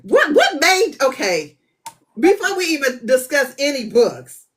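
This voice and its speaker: A woman podcast host, loud and playful, hyping up her guest. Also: sits high, big pitch swings, measured pace. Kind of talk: casual interview chat.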